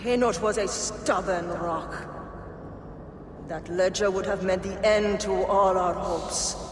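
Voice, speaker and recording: A woman's voice: mid-range voice, steady tone, slow pace, natural voice. A woman playing a cold, haughty villainous noblewoman in a game cutscene, disdainful and imperious. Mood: cold, defiant. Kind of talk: dramatic game cutscene acting. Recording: echoey hall with noise behind.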